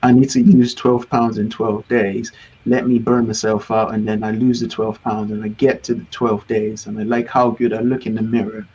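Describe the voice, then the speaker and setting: A man guesting in a casual interview, relaxed and reflective with self-deprecating humor. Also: fairly low pitch, normal speed, slightly rough, highs cut off. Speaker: business coach guest.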